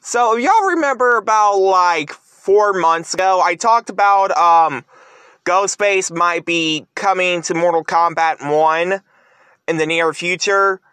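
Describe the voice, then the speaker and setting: A man talks casually to his viewers as a vlogger. His voice is bright and edgy, and he moves very slowly, stopping and starting as he goes. The sound is dry, with hardly any room on it. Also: sits high, smooth, no echo. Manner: halting, stop-and-start delivery. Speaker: YouTube vlogger. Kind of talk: casual video commentary.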